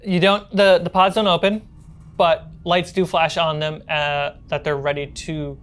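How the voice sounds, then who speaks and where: Low-voiced woman narrating a tabletop game. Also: little pitch movement, a little slow, clean tone. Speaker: game master.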